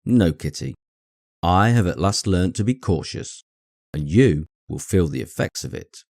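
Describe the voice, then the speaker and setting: Guy doing dry sardonic english dad in a classic novel, low voice, deadpan, very close dry sound. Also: a little slow, creaky, no echo, full character voice. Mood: mock-stern. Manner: deadpan, wry, mock-stern. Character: dry, sardonic father, a middle-aged English gentleman. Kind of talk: acted dialogue in audiobook reading.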